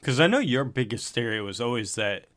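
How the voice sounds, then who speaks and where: A man's voice: mid-range voice, expressive, fairly quick, a bit bright. A man co-hosting a casual podcast chat, speaking in an informal, slangy, sometimes profane way. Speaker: podcast co-host. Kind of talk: casual chat about manga. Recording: noticeable background noise.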